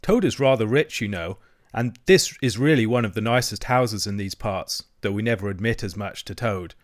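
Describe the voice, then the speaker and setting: A man voicing a cheerful, good-natured water rat in a children's story, chatty, colloquial and quick with opinions. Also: on the low side, no room sound, studio quality, lightly acted. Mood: chatty, confident.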